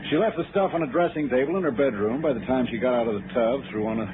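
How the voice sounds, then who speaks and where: A man's voice: medium pitch, rapid-fire, close to an everyday voice. Harried American company man acted by a man, tinny, noisy. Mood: exasperated. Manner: impatient, grumbling. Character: harried, exasperated male insurance company man. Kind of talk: 1960s radio drama acting. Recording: noisy, tinny old radio broadcast.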